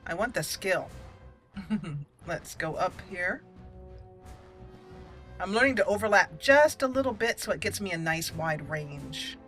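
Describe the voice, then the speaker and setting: Bright-voiced woman chatting through a playthrough. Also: fairly low pitch, measured pace, pauses often. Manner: excitable, playful, chatty. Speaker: gaming channel host. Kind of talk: casual let's play commentary. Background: game music under the voice.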